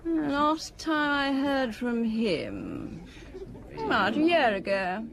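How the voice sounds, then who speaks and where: An actress playing a callous middle-aged former dancer and gambling addict in a radio drama, breezy and distracted amid a casino crowd. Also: female voice, high voice, very animated, takes it slowly, gravelly, a little hiss or hum, heavily acted. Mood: flippant, wry. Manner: breezy, distracted, chuckling offhandedly. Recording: busy crowd, casino scene. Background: casino crowd chatter.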